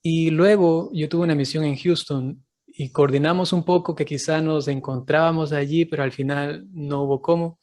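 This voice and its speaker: A man who is a priest hosts this interview over a video call, speaking in a fairly high voice. He greets his audience and laughs often along with his guest. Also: fairly even intonation, moderate pace, darkish tone. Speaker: priest and channel host. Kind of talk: interview host.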